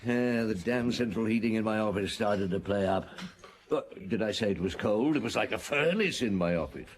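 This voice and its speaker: An actor playing a weary middle-aged police inspector in a radio drama, with a dry, grumbling but patient manner. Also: male voice, on the low side, normal speed, natural voice. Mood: reflective. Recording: noisy old radio drama recording.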